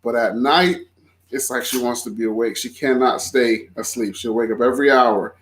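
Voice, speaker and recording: A man's voice: mid-range voice, moderate pace. Easygoing man from Florida, chatting. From Florida, USA. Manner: easygoing, chatty, laughs easily. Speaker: guest. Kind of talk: casual conversation.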